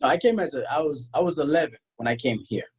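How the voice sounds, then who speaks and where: A man's voice: on the high side, fast, slightly rough, dull audio. Emphatic man born in South Sudan. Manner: emphatic, rhetorical questions, frequent "you know" and "right?". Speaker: guest, tax strategist. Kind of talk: conversational interview.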